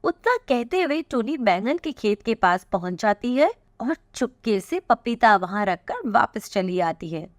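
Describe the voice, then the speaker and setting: A woman's voice: fairly high pitch, very animated, unhurried, few pauses. A woman narrating a scripted children's story as its storyteller. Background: music.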